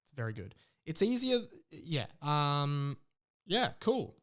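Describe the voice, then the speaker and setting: A man's voice: on the high side, sing-song, slow, fairly bright tone. An Australian man hosting a podcast in a casual, rambling way, reading out messages and breaking off for his own asides. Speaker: podcast host.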